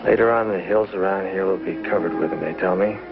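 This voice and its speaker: Low, creaky, earnest pioneer husband. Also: male voice. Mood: weak, tender. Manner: halting, soft-hearted. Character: gentle, earnest, injured young pioneer husband. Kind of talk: 1950s television western acting. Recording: muffled 1950s TV soundtrack with music.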